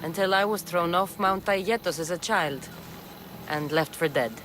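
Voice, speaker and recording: A woman's voice: on the low side, a little slow, noisy background, lightly acted. A woman playing a hardened exiled Spartan mercenary in a video game, with a blunt, firm delivery. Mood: guarded, bitter. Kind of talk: video game dramatic dialogue. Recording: music behind the voice.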